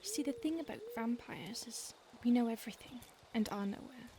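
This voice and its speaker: A woman's voice: on the high side, a little fast. A woman playing a whispering possessive vampire. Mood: menacing whisper. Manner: soft, intimate, often whispered; calm, menacing, possessive. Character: possessive centuries-old female vampire stalker. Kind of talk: audio roleplay. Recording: noisy background hiss.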